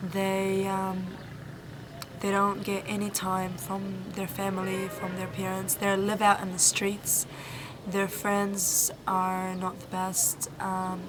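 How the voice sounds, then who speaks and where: A young woman from New Zealand, a volunteer answering interview questions in an earnest, halting way with many pauses and restarts. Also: mid-range voice. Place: Egyptian village, indoors or outdoors. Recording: noisy background.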